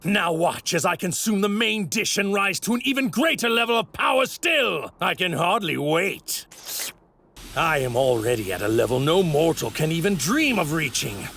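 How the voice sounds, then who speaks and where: An arrogant god in a warrior's body for an anime dub. His delivery is pompous and theatrical, swinging widely in pitch as he taunts. The voice is forceful and bright, with a gravelly rasp underneath. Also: very animated, normal speed, full character voice. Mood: smug, taunting. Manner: pompous, grandiose, taunting, savoring words. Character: arrogant divine villain in a stolen warrior body. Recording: ripped voice track with clear background noise.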